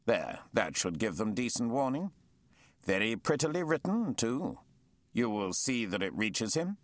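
A man voicing a hearty, confident naval captain who speaks briskly and genially. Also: mid-range voice, big pitch swings, no echo, pitched above the performer's own voice.

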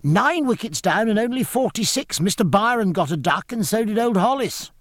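A man voicing an eager, excitable young boy who pleads urgently and deferentially in an audiobook. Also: sits high, moderate pace, dry recording, studio quality, voice pushed up. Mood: pleading, excited. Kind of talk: audiobook character dialogue.

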